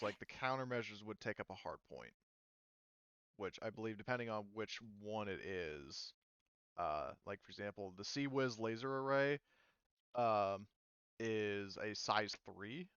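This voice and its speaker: A man's voice: lowish voice, a little slow, a bit bright, clear, resonant tone, no echo, highs cut off. A man running a tabletop roleplaying game, explaining rules in a rambling way full of fillers. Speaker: game master.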